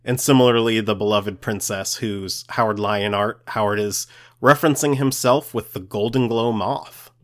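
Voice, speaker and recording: A man co-hosting a podcast, explaining lore in a halting way as he searches for words. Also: fairly low pitch, fairly even intonation, fairly bright tone. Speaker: podcast co-host.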